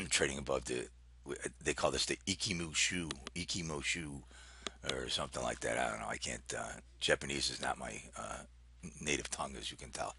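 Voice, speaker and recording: A man giving informal, unscripted market commentary as an analyst, talking haltingly as he thinks aloud and reads out numbers. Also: a bass voice, gravelly, bright, forceful tone, slightly rough. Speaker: market analyst hosting a trading briefing.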